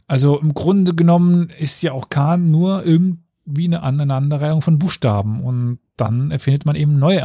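A man's voice: fairly high pitch, warm tone, dry recording. A man hosting a casual history podcast, explaining at length with fillers and dry self-mocking asides. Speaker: podcast host telling history.

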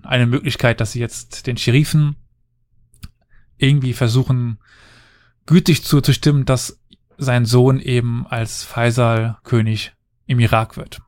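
A man hosting a casual history podcast, telling the story at length with lively explanations. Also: mid-range voice, moderate pace, no echo.

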